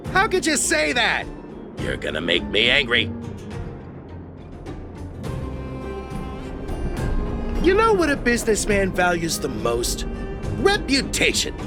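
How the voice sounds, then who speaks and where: A man's voice: on the high side, exaggerated voice. A man voicing a shifty, blustering merchant in a video game, theatrical and wheedling, proud of his reputation and easily cowed. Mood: offended.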